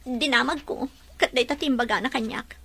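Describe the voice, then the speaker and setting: A woman's voice: high-pitched, normal speed, vocal fry, fairly bright tone, exaggerated voice. A woman playing an earnest young woman in love, speaking with an Ilocano accent. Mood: surprised. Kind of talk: audio drama acting.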